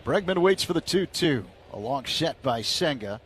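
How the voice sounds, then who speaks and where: A man calling baseball play-by-play on the radio in a steady, measured way. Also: a little high, slight background noise.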